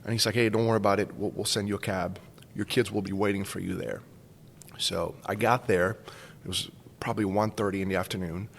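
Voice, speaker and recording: A man's voice: lowish voice, fairly even intonation, brisk, vocal fry, in a large hall, a little hiss or hum. A man in his forties from Cuba, casually telling a personal story as a podcast guest. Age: 40s. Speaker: school staff member, podcast guest.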